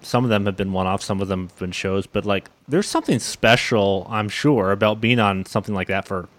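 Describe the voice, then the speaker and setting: A man's voice: fairly low pitch, a little fast. A man from Canada hosting a casual interview, asking questions in a hesitant, roundabout way. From Canada, Ontario. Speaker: interviewer, host.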